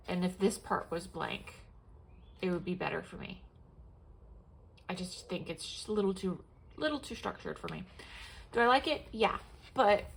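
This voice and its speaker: Young woman vlogging at home, stuffy nose, a bit of vocal fry, rambly, faint hiss behind. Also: lowish voice. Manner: rambling, many fillers and restarts. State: congested, sniffling. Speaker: planner vlogger. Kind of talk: casual show-and-tell chat.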